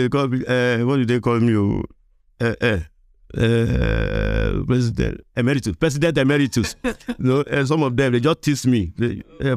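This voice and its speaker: A man with a Nigerian accent chatting as an interview guest, speaking haltingly and repeating phrases for emphasis. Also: mid-range voice, no echo. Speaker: podcast guest, veteran voiceover artist. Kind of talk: casual interview conversation.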